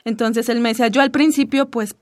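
A woman whose first language is Zapotec, speaking calmly and thoughtfully as a radio guest. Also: a little high, fairly quick, no echo. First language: Isthmus Zapotec. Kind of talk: radio interview.

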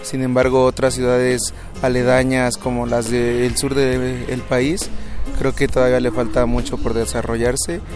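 Young student guy, casual, monotone, music behind. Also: medium pitch, unhurried. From Mexico. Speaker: university student.

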